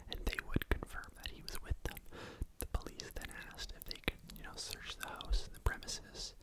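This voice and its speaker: An ASMR creator telling a true crime story in a fully unvoiced whisper, casual and conversational, with fillers and personal asides. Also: rough recording.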